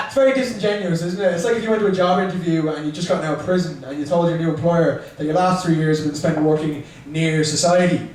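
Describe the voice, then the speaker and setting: A young man with a Dublin accent doing stand-up in a small bar, chatty and self-mocking as he teases the crowd. His voice sits fairly high for a man. It comes from a distance and rings around a very echoey room. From Ireland. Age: young adult. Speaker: stand-up comedian. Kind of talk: stand-up comedy set. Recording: echoey room, mic far off.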